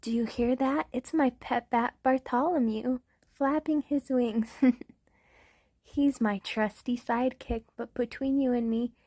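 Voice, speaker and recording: A woman's voice: on the high side, mellow, no room sound, studio quality. A woman voicing a clumsy, goofy male vampire count in a comedic ASMR roleplay, giggly and theatrical. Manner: giggly, theatrical, breaks into laughter.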